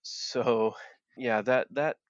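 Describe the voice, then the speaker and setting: A man from Appalachia speaking as a guest in an interview, earnest and halting, often repeating words as he restarts a thought. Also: medium pitch, steady tone, no room sound, highs cut off. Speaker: guest, former coal miner. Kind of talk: interview conversation.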